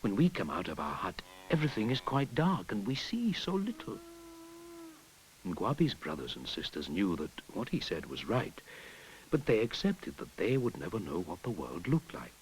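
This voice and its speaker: A man narrating a folktale in an expressive storytelling manner, sometimes dropping to a whisper. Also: fairly low pitch, normal speed, a little hiss or hum, poor audio. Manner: expressive storytelling, drops to a whisper at hushed moments. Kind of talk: storybook narration over music. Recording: narration with clear music behind.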